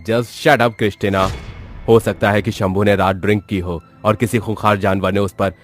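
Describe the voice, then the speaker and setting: A man's voice: fairly low pitch, level tone, normal speed, faint music behind, close to an everyday voice. A man voicing a secretive male school principal in a horror story, stern, scolding and dismissive. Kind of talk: dramatic story character acting.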